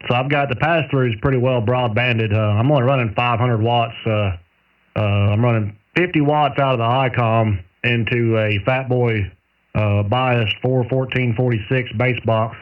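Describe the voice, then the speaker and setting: A man talks casually over a thin, narrowband radio link, sounding set back from the microphone. He is a radio operator with a folksy, countrified way of putting things, in a mid-pitched voice. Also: fairly even intonation, moderate pace. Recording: tinny narrowband radio transmission, far from mic.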